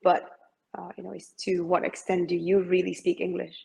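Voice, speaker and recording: Low, gravelly woman from Malaysia. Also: flat delivery, moderate pace, a little mellow. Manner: hesitant, many fillers and restarts. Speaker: guest, masters swimmer. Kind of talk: interview conversation.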